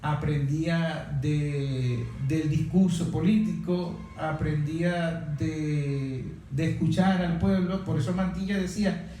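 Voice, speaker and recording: A man in a reflective political discussion as interviewer, speaking haltingly with repeated words and rhetorical questions. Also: a little high, steady tone, mellow. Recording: very echoey room, distant mic, faint noise.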